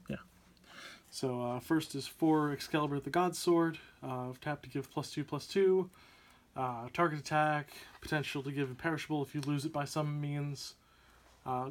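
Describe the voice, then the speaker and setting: A young man hosting a card game channel, slightly hoarse from a sore throat, talking casually and upbeat with lots of fillers. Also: mid-range voice. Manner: chatty, upbeat, many "uh" fillers. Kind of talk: casual deck profile walkthrough.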